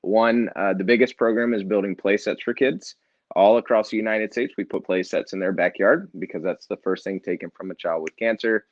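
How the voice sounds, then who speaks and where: Middle-aged male guest, low and earnest, dead-dry lo-fi mic. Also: brisk, no echo. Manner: relaxed, earnest, light self-deprecating humor. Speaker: nonprofit founder and author. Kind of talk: casual interview storytelling.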